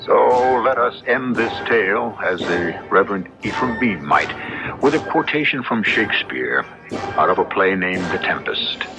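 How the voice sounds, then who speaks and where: A man hosting and narrating an old-time radio drama in a measured, portentous, formal way. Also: fairly low pitch, lively intonation. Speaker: host and narrator. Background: music.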